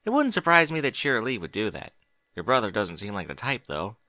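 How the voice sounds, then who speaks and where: A man's voice: lowish voice, wildly swooping pitch, fairly quick, bright, forceful tone, no room sound, clean studio sound, exaggerated voice. A man voicing a fretful, bookish young pony mare, anxious and easily flustered.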